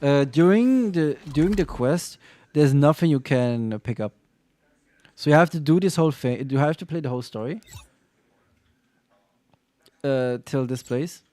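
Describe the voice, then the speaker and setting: A man's voice: a little high, lively intonation, mellow, no echo. A man streaming a game, answering chat questions casually, stopping and restarting his sentences often. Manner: halting, full of restarts and fillers while answering chat. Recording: faint game music behind.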